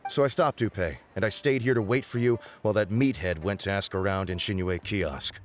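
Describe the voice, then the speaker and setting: A man's voice: on the low side, fairly quick, soft background music, lightly acted. A man playing a subordinate in a video game, giving an apologetic, careful report to his boss.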